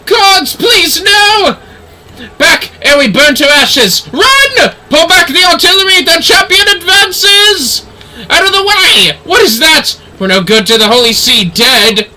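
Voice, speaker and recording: A man's voice: extremely high pitch, big pitch swings, takes it slowly, pushed and bright, a little hiss or hum, voice pushed up, exaggerated voice. A man voicing fervent fantasy knight commanders in a video game, declaiming urgent battle cries to rally troops. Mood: alarmed, urgent.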